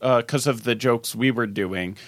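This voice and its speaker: A man's voice: medium pitch, a little slow, dry recording, studio quality. A man co-hosting a casual podcast, swapping anecdotes in a joking way with hesitant starts. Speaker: podcast co-host. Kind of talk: casual group banter and anecdotes.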